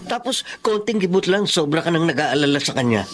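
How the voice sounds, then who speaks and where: Wounded jealous filipino boyfriend, high voice for a guy, imploring, radio drama style. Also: big pitch swings, brisk, a bit bright, faint music behind. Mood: jealous, hurt. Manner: emotional, imploring. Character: jealous, wounded young boyfriend, devoted and insecure.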